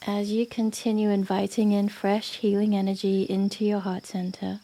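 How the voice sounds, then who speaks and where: A woman guiding a yoga nidra meditation in a gentle, unhurried manner with long pauses. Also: medium pitch, level tone. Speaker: meditation guide. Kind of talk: guided yoga nidra meditation, soothing narration.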